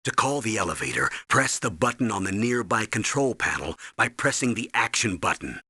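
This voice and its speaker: A man's voice: fairly low pitch, sing-song, normal speed, pushed and bright, breathy edge, no echo, heavily acted. A man playing a stern older American military colonel in a video game, giving clipped, authoritative mission briefings. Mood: instructive.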